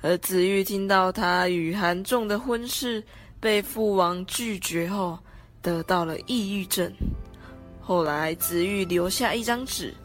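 Slow-paced teenage female narrator. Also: medium pitch, soft background music. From Taiwan. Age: teens. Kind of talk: scripted story narration.

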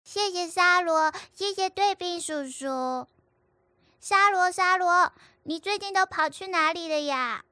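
A woman voicing an eager little boy who chatters in an excited, childlike way. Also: very high-pitched, a little slow, dry recording, heavily acted.

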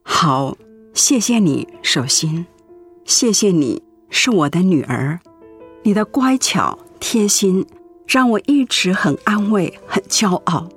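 Sick mom in a radio play, gentle and earnest, breathy rough voice, soft music behind. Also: female voice, fairly low pitch, expressive, slow pace, exaggerated voice. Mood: tender, emotional. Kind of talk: Mandarin radio drama acting.

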